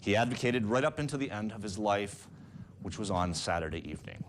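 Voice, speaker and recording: A man's voice: lowish voice, expressive, fairly quick. Male news anchor reading, noisy recording. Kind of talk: reading a written tribute. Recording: hiss and ambient room noise.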